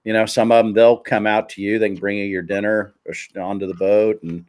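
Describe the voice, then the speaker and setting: A middle-aged man hosting a casual live video chat over a headset, talking in a relaxed, rambling way. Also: on the low side, brisk. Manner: relaxed, rambling, many fillers. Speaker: host. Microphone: headset on a video call.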